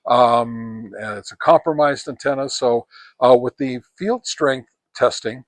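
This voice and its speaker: A man presenting an informal, unscripted product review, speaking haltingly with frequent pauses in mid-sentence. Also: mid-range voice, no room sound. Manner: halting, pausing often mid-sentence, clears throat. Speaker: reviewer, presenter of radio antenna tests.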